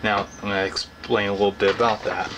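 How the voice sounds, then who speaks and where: Low-voiced young man, noisy recording. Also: little pitch movement, quick pace. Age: young adult. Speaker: hobbyist vlogger. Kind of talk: casual explanatory talk to camera. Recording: clearly noisy background ambience.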